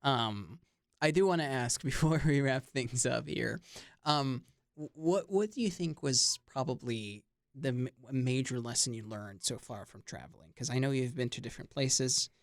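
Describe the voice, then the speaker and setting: A woman's voice: a bass voice, moderate pace, no room sound, studio quality. A woman from Libya, a native Arabic speaker, hosting a casual radio interview in a conversational way.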